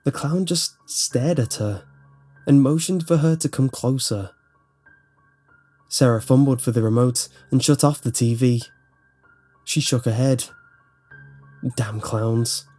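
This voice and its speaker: A man's voice: mid-range voice, slow pace, pauses often, mellow. A man reading a scripted horror story as its narrator. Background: faint music.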